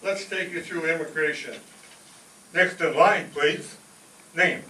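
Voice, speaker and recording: Man acting a brisk, high-voiced radio skit character. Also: pushed and bright. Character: devoted immigrant son steering his mother, and brisk immigration officer. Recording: echoey, distant stage recording, faint noise.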